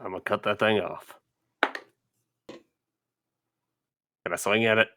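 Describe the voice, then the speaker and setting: Deep, bright-edged man at a gaming table. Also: steady tone, slow pace. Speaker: player in a tabletop roleplaying game. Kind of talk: casual game table talk.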